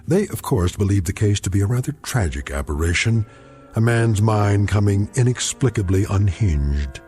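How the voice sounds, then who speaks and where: An actor narrating a radio drama as a sardonic, ominous storyteller with dry, deliberate irony. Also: male voice, on the low side, lively intonation, darkish tone, soft background music, lightly acted. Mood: wry, ominous.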